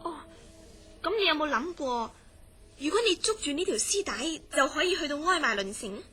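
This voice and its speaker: A woman acting a concerned, inquisitive friend in a horror radio drama, questioning gently in Hong Kong Cantonese. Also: sits high, lively intonation, moderate pace, soft background music. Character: concerned, inquisitive female friend of a troubled dreamer.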